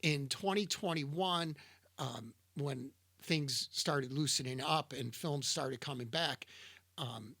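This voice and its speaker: A man's voice: medium pitch, slow, vocal fry, pushed and bright, slightly rough, dry recording. A middle-aged man from the Niagara Falls area co-hosting a casual chat, hesitant and rambling with many restarts. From Niagara Falls area, New York. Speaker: podcast co-host.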